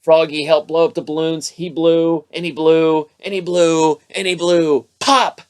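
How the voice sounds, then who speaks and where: Expressive bedtime storyteller, medium pitch. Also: unhurried, clear, resonant tone. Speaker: storybook narrator, host. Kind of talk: scripted bedtime story read-aloud.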